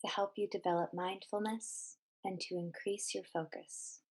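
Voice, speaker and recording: A woman narrating a scripted guided meditation in a measured, deliberate, instructional way. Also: medium pitch, steady tone, breathy edge.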